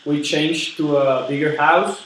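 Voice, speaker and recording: A man playing an eager, polite steel tycoon in a role-played interview, earnest and halting as he repeats words. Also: fairly high pitch, steady tone, fairly bright tone, far from the mic. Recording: very echoey room with background noise.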